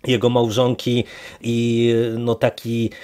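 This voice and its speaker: A man talks as a podcast co-host in a casual review discussion. His pitch sits in the middle of the male range. He phrases things wordily and hesitantly, circling toward his point. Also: monotone, takes it slowly, darkish tone, clean tone.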